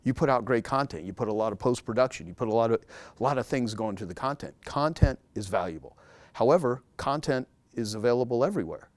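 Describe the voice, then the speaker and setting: A man's voice: medium pitch, lively intonation, fast talker, no room sound. A man speaking as a guest in a casual interview, talking emphatically and repeating key words to drive a point home.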